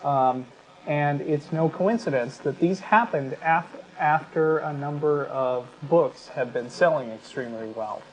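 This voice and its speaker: A man co-hosting an unscripted talk show, explaining his views in a measured, halting way. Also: on the high side. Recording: dull lo-fi sound with clear background noise.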